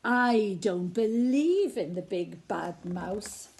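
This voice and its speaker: Woman doing the gruffalo's child, little monster girl, brave and curious, bouncy pitch. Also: animated, slow, a little mellow, full character voice. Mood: defiant. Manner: bold, curious, wide-eyed.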